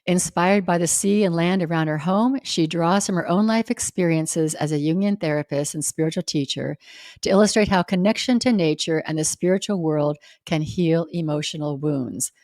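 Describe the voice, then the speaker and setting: Low-pitched woman hosting a podcast. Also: moderate pace, darkish tone, no echo, studio quality. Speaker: podcast host. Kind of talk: podcast introduction and interview questions.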